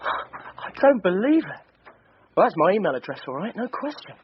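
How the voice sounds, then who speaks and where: A man's voice: high voice, sing-song, moderate pace. A man with a British accent playing an earnest junior police detective in a naturalistic radio drama. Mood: baffled, defensive. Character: junior male police sidekick, computer-savvy, earnest. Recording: dull radio drama sound, faint noise.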